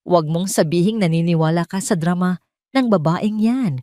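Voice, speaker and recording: A woman's voice: fairly low pitch, normal speed, dark tone, dry recording, clean studio sound, lightly acted. Woman narrator as a cold, commanding wealthy grandmother. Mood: cold anger. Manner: stern, commanding, switches into English. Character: cold, angry, domineering wealthy matriarch, grandmother.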